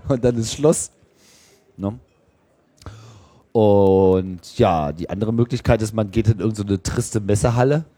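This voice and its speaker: A man's voice: lowish voice, unhurried, pauses often, dark tone, no echo. A man from Germany chatting casually, telling digressive stories with an ironic streak. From Hannover, Germany. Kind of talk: casual, bantering chat.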